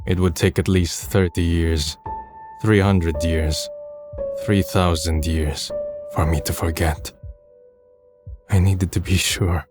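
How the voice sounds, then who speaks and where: A man's voice: low-pitched, steady tone, slow pace, music in the background. A man voicing a downcast, brooding young man who narrates his own story in a subdued, introspective way for an amateur manga dub. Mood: somber, introspective. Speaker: narrator and lead character.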